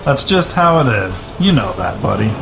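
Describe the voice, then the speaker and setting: A man's voice: fairly low pitch, sing-song, moderate pace, vocal fry, music in the background, rough recording. An actor playing a plain-spoken, protective father in an audio drama. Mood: easygoing. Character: easygoing but fiercely protective father.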